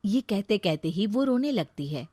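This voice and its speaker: A woman's voice: mid-range voice, sing-song, moderate pace, mellow, no room sound, studio quality. A woman storyteller narrating a dramatised family story.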